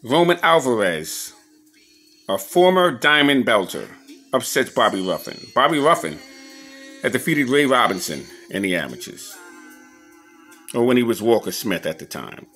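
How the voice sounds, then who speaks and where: A man giving a casual show-and-tell commentary as an enthusiastic collector, halting a little as he reads old clippings aloud. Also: medium pitch, sing-song, fairly bright tone, soft background music. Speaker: boxing memorabilia collector showing his scrapbooks on video.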